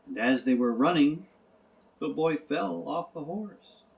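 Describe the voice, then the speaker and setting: A man reading a storybook as narrator, in a gentle, measured way. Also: a little high, lively intonation, creaky. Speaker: audiobook narrator.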